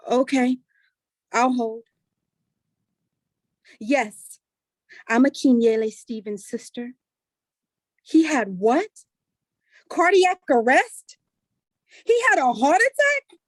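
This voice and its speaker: A woman's voice: sits high, no room sound. Anxious sister in a play, acted by a woman. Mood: shocked, distraught. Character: anxious, distraught younger sister relaying bad news. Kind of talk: staged reading of a play.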